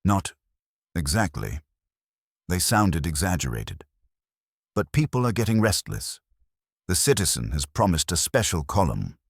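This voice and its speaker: Keen, deferential male sergeant voiced by a male narrator, very low register, dry close recording. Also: sing-song, measured pace, gravelly, pauses often, slightly rough, no echo, exaggerated voice. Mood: hesitant. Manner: eager, respectful. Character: eager, industrious male police sergeant, deferential.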